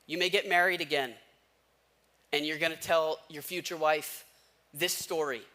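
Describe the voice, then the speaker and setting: A man's voice: a little high, fairly even intonation, moderate pace, pushed and bright. An American man in his thirties preaching a conversational sermon, telling personal stories in everyday slang and posing rhetorical questions. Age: 30s. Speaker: pastor preaching.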